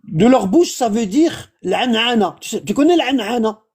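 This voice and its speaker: A man's voice: extremely high pitch, animated, normal speed, a little mellow, husky. A man giving informal religious teaching, explaining patiently, repeating himself and checking that he is understood. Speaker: religious instructor.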